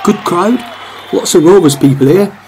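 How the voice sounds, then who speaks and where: An actor playing a wistful middle-aged football supporter, musing to himself in a radio play. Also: male voice, sits high, normal speed, a little mellow, a little hiss or hum, rough recording. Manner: reflective, talking to himself.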